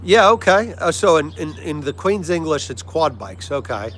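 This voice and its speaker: A man's voice: fairly high pitch, big pitch swings, normal speed, fairly bright tone. Chatty American man livestreaming over background noise. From United States. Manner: friendly, chatty, agreeable. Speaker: livestream host. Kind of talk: casual chat with live viewers. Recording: clear background noise, vehicle-like ambience.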